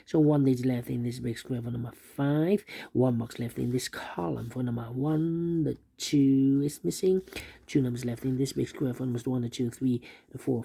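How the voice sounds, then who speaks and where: A man with a dark, gravelly voice teaches a puzzle solve at his desk. He thinks aloud in a steady, methodical way, repeating pairs of numbers under his breath as he goes. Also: mid-range voice. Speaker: sudoku instructor.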